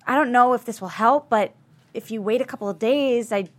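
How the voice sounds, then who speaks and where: A woman's voice: on the high side, a little fast, close to an everyday voice. Woman as eager teen girl in a table read, plucky, loyal type, everyday natural voice. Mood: earnest.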